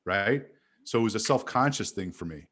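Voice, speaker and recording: A man from Montreal, an animated guest who tells stories and corrects himself as he chats on a video call. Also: fairly low pitch, moderate pace, husky. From Canada. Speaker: guest sales speaker. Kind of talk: casual video-call chat.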